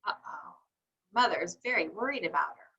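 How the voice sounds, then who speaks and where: A woman reading a picture book aloud to young children in a teacherly, expressive way, putting questions to her listeners. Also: lowish voice, moderate pace, gravelly, breathy edge, dull audio. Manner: teacherly, asks questions and answers them; exaggerated, expressive child-directed delivery.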